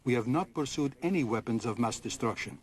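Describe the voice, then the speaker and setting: Guy interpreting into english, mid voice, slow solemn statements. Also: mid-range voice, lively intonation. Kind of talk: formal consecutive interpreting.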